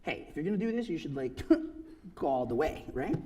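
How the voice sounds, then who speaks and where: Filipino guy in his 30s giving a talk, kinda husky, earnest, keeps going "right?". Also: on the high side, sing-song, fairly quick, darkish tone. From Philippines. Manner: earnest, emphatic, rhetorical "right?" asides. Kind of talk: personal talk to an audience.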